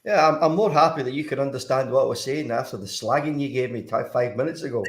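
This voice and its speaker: A man's voice: mid-range voice, quick pace. A man in his sixties with a Glaswegian accent, a former footballer talking as a guest over a video call, hesitant with many fillers and restarts. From Scotland. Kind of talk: casual interview.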